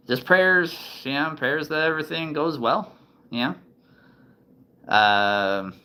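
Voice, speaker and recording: A man's voice: on the low side, sharp tone, smooth. A man in his thirties chatting casually to the camera, thinking aloud with many ums and restarts. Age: 30s. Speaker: vlogger.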